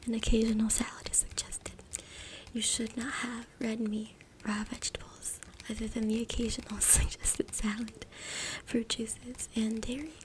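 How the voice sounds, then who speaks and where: Whispering woman, breathy ASMR reading. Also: a little high, little pitch movement, normal speed. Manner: hushed, informal. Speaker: ASMR creator. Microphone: close USB microphone. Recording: noisy USB mic with clicks, tapping. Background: tapping, scratching, clicks.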